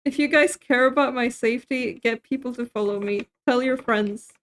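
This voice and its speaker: A young woman with a high, light voice chats with her viewers as she cooks at home. She, laughs easily, and answers chat messages as they come in. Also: moderate pace, fairly bright tone. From Sweden. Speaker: streamer. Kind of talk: casual chat while cooking on stream.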